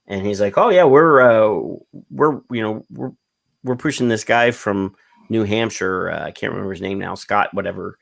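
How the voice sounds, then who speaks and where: A man's voice: lowish voice, vocal fry. A man hosting a casual podcast chat, telling rambling stories with lots of fillers. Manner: rambling storyteller, many fillers. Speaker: podcast host.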